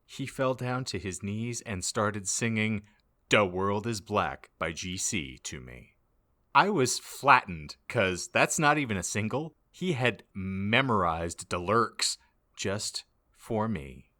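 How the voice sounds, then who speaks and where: A man narrates a story for comedy in a theatrical, heavily emphatic way. His voice is bright and very animated in pitch. He takes his time over the sentences. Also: takes it slowly. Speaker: podcast host reading aloud. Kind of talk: mock-dramatic comedic reading of fan fiction.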